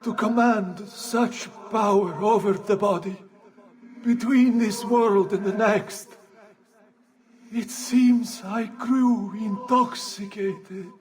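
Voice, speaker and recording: A man voicing a power-drunk healer villain in a video game, speaking in a deliberate, theatrical way. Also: sits high, steady tone. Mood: regretful. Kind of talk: dramatic video game acting.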